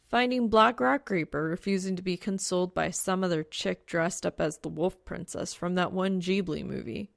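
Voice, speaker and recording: A woman reading fiction aloud as an audiobook narrator, with a wry, expressive delivery full of casual slang. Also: on the low side, gravelly, no echo, clean studio sound. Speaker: audiobook reader of fan fiction. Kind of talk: scripted story narration.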